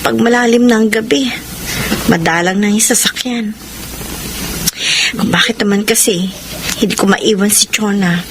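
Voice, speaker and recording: A woman's voice: medium pitch, moderate pace, pauses often, noisy background, natural voice. An actress playing a firm, guarded young woman in a Filipino radio drama. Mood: wary.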